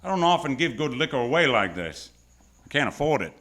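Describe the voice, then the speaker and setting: A man's voice: medium pitch, sing-song, brisk, a bit bright. A man acting a wry, hardboiled private detective who narrates his case in old-time radio noir style on stage. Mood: probing, wry. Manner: wisecracking, tough, world-weary slang. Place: stage of live radio theatre.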